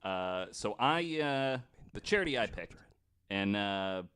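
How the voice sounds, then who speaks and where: A man presenting a researched story on a casual podcast, speaking in a halting way as he explains from his notes. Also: on the low side, animated, fairly bright tone, smooth.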